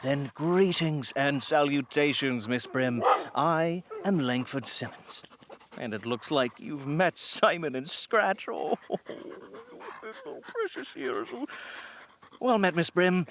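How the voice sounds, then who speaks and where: A man's voice: a little high, big pitch swings, takes it slowly, a bit bright, a little hiss or hum, full character voice. A man playing a courtly, fussy, dog-doting lawyer in a comedy audio drama, with florid, formal diction. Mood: effusive, courtly. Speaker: voice actor.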